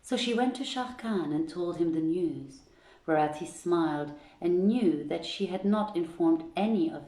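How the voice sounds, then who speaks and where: Female literary reader: low, mellow, measured and theatrical, recorded in a roomy space. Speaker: host and reader of a literary reading series. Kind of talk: greeting, then dramatic storybook reading. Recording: echoey room sound.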